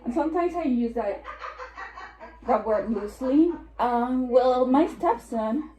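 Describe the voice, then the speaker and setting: A woman's voice: sits high, lively intonation, slow pace, dark tone, echoey room, rough recording. A woman in her forties with a Puerto Rican accent, an estate planning attorney giving a seminar talk with chatty, joking asides. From New York. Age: 40s. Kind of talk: seminar presentation.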